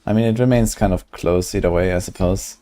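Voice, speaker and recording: Low-voiced man musing over a game. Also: brisk, dark tone. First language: German. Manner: musing, calculating aloud. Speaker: streamer. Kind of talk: casual gameplay commentary.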